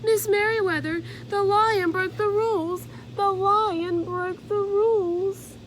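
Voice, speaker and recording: A woman voicing a fussy, excitable male library worker in a storybook, calling out emphatically about the rules. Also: very high-pitched, slow pace, slight background noise, pitched above the performer's own voice. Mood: frantic. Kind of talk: storybook character voice.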